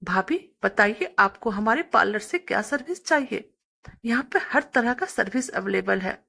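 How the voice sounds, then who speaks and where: A woman's voice: medium pitch, lively intonation, normal speed, vocal fry, sharp tone, husky, exaggerated voice. A woman voicing a smitten male beauty parlour worker in a story, courteous and eager to please. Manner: courteous, deferential.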